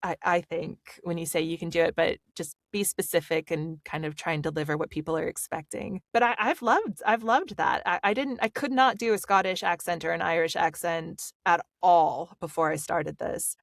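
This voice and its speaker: A woman's voice: fairly low pitch, normal speed, vocal fry, few pauses, no echo, clean studio sound. A woman with a standard Pacific Northwest American accent, an audiobook narrator chatting animatedly in a podcast interview, with lots of fillers. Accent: General American, Pacific Northwest. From Pacific Northwest, USA. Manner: animated and talkative, many fillers.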